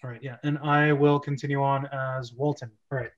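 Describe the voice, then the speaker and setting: A man's voice: mid-range voice, flat delivery, fairly quick, muffled recording. A man chatting casually as host of an amateur script reading, handing out parts.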